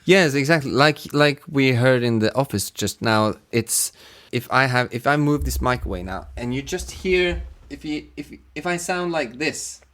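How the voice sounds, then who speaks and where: A man speaking as a sound designer in a casual interview, halting as he searches for words. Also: mid-range voice, animated, fairly bright tone.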